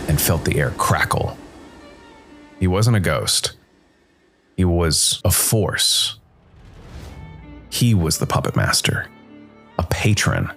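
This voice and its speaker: A man narrating a scripted first-person noir story as a world-weary supernatural detective, brooding and dramatic, with deliberate, weighted pauses. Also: extremely low pitch, gravelly, fairly bright tone, full character voice. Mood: ominous, grave. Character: world-weary supernatural noir detective, male jazz musician working for Death.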